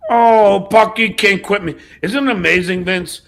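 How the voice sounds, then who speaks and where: A man's voice: sits high, a little slow, vocal fry, a bit bright. A man hosting a livestream in casual chat, blunt and often swearing. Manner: profane, blunt. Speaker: host of a livestream show.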